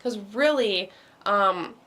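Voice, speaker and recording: A woman hosting an online astrology meditation, talking casually with many ums and restarts, her voice going hoarse. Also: mid-range voice, lively intonation, slow pace, pushed and bright. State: losing her voice. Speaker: meditation host. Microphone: video call.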